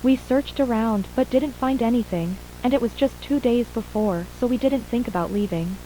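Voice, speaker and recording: Woman acting as shaken mom survivor in an analog horror interview, mellow high voice, faint hiss behind. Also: moderate pace, close to an everyday voice, poor audio. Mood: subdued, uneasy. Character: shaken mother and wife, surviving witness of an attack. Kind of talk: acted interview in an analog horror audio drama.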